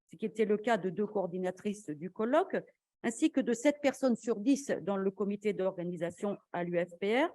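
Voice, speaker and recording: A woman delivers a formal academic conference talk with a mellow, dark-edged voice at a middling pitch. She speaks in a measured, argumentative manner, as a scholar presenting to peers. The sound is close and dry, with very little room on the voice. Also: no room sound. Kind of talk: formal academic lecture with slides.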